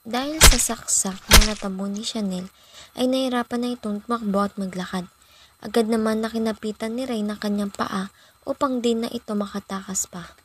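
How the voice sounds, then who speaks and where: A woman's voice: mid-range voice, steady tone, moderate pace, noisy background. A young woman giving scripted narration as the storyteller of a student radio drama. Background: background music.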